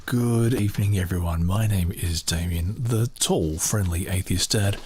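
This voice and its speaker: A man with a deep voice hosting his podcast, talking in a relaxed, rambling way with hesitations and self-corrections. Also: a little slow, slight background noise. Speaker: podcast host. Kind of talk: casual podcast chat.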